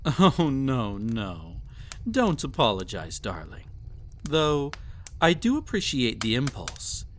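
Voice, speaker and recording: Guy doing a mean possessive emperor for audio roleplay, super slow and condescending, pitch moves around a lot, silky and haughty. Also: huge pitch swings, slight background noise. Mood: amused, condescending. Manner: haughty, silky, condescending, theatrically drawn-out delivery. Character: cruel, possessive, mocking young emperor pampering a captive pet. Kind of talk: scripted roleplay audio drama, addressed to listener.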